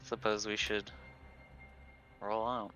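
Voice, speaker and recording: A man's voice: fairly low pitch, level tone, slow pace, sharp tone, soft background music. A man playing a tabletop roleplaying game and chatting casually, heard through a dull, lo-fi microphone.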